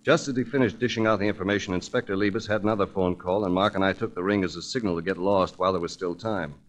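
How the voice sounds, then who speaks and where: An actor plays a suave American male investigator in a 1940s radio drama. His voice sits low, and he speaks with measured, articulate confidence and a wry edge, like someone telling his own case. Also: steady tone, close to an everyday voice. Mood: narrating.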